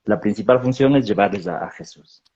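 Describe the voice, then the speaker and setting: A man, a pastor, explaining theology in a measured way in a panel discussion, often repeating words as he thinks. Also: on the low side, darkish tone, dull audio.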